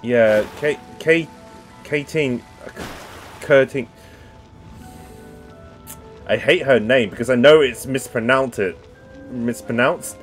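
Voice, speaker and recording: A man's voice: mid-range voice, slow pace, long pauses, faint music behind. A man casually commentating while playing a game, rambling and chatty with lots of ums and asides. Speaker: gaming video host. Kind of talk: casual let's-play commentary.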